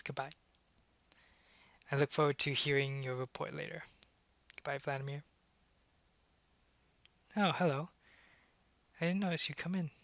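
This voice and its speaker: A man playing a suave, calculating vampire businessman in an ASMR roleplay, speaking in a measured, smug and politely menacing way. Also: mid-range voice, bright, forceful tone, dry recording. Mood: calm, businesslike. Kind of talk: ASMR roleplay audio drama.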